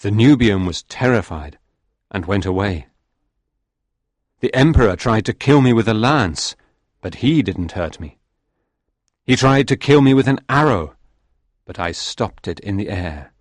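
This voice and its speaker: Proud, greedy soul of a fisherman, voiced by a man, slow and low-pitched, storybook telling. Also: expressive, dry recording. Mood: measured. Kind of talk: audiobook reading.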